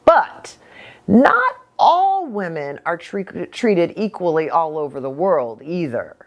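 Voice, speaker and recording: A woman's voice: lowish voice, sing-song, slow pace. An American woman preacher explaining things simply to children as a story-time host.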